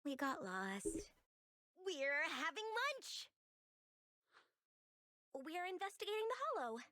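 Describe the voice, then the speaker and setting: A woman's voice: very high voice, wildly swooping pitch, slow pace, bright, forceful tone, no echo, studio quality, heavily acted. A woman voicing a teenage schoolgirl character in an anime action game. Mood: flustered, pleading.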